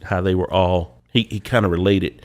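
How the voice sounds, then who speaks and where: A young man with a congested voice from a cold, chatting casually as a podcast co-host, unhurried and full of "uh" pauses. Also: deep voice. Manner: relaxed, drawling, with many "uh" pauses. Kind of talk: casual chat.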